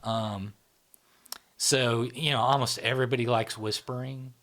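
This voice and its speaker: A man's voice: medium pitch, fairly even intonation, a little slow, fairly bright tone. A man hosting a casual podcast conversation, explaining ideas at length and tagging his sentences with "right?" and "like". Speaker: host, channel founder.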